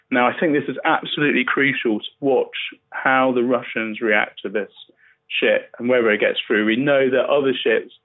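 Male reporter, mid-pitched, explaining news on a thin phone-like connection. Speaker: newspaper journalist. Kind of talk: spoken news analysis on a podcast. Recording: dull, band-limited remote line.

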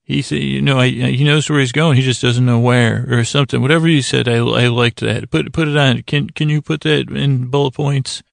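A man hosting a sleep podcast in a gentle, soothing way, with halting, fumbling, meandering monologue that keeps interrupting itself. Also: medium pitch, steady tone, gravelly, no room sound, studio quality. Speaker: podcast host. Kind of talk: rambling bedtime monologue to lull listeners to sleep.

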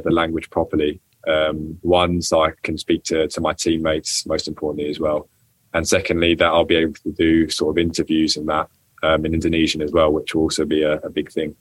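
A young man speaks in a deep, low voice, recorded dry and close. He answers an interviewer casually, often restarting his sentences. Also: fairly bright tone, no room sound, poor audio. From England. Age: young adult. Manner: hesitant, many restarts and ums, laughs often. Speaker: footballer guest.

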